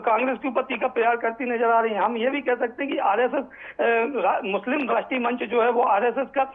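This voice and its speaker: Very high-pitched man on tinny phone line. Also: fairly even intonation. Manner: halting, repetitive, with many self-corrections. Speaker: news correspondent. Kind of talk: live unscripted news report. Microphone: phone call.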